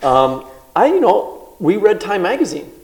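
A man co-hosting a live podcast interview at a book festival, talking quickly with a chatty, self-deprecating manner. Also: sits high, big pitch swings, a little mellow, slight background noise, rough recording. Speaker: podcast co-host.

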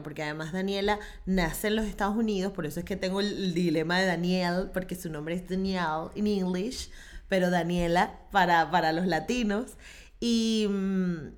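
A woman hosting a podcast, talking with eager enthusiasm and lots of fillers. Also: on the low side. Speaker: podcast host. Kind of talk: casual podcast chat.

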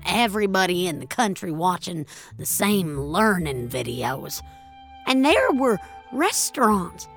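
A woman's voice: lowish voice, very animated, measured pace, faint music behind. A woman playing an angry, nostalgic elderly woman in an audio drama, speaking emphatically and wistfully with plenty of swearing. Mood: wistful, impassioned. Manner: emphatic, wistful, foul-mouthed. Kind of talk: dramatic audio fiction monologue.

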